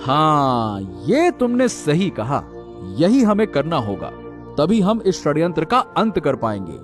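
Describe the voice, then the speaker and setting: A man's voice: a little high, wildly swooping pitch, a little slow, soft background music. A man playing an earnest royal subject in a cartoon story, explaining things in a serious, urgent way. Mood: concerned, determined. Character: earnest male subject exposing a conspiracy.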